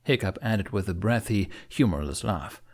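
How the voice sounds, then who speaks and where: A man's voice: fairly low pitch, expressive, normal speed, a little mellow, slightly rough. A man narrating an audiobook as dramatic, scripted storytelling.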